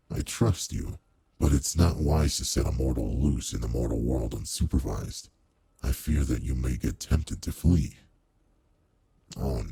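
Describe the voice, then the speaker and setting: A man voicing a suave, teasing aristocratic demon lord in an intimate roleplay audio drama, with a deep, gravelly, husky voice and a leisurely manner. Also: mellow, no echo, exaggerated voice.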